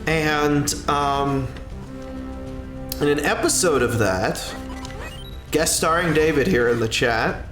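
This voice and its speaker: Male streamer, mid-range voice, unhurried and rambling delivery, roomy echo, music underneath. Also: on the high side, a bit bright. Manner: rambling, halting, with drawn-out pauses and self-corrections. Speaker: livestreamer and content creator. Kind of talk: casual livestream chat, answering a viewer's question.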